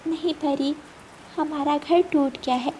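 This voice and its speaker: Lady doing a sweet meek little sparrow mom for a cartoon, high soft pleading voice, loud storm behind. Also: fairly even intonation, slow pace, very noisy. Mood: humble. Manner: polite, pleading, soft-spoken. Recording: loud rain and thunder behind.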